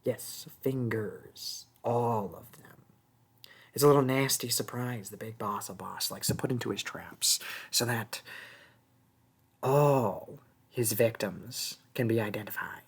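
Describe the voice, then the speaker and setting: A performer voicing a small, sneaky, mocking goblin thief in a fantasy audio roleplay, taunting and haggling in a husky, breathy voice that often drops to a whisper. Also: medium pitch. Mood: exasperated, grumbling. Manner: taunting, haggling, drawn-out wheedling.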